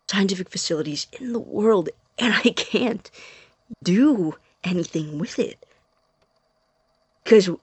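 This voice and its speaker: An intense young science student played by a woman in an audio drama monologue, convinced of being right. The voice is lowish and husky, breaking off and restarting anxiously. It is recorded close and dry. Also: no echo. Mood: frustrated. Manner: halting, self-interrupting, intense.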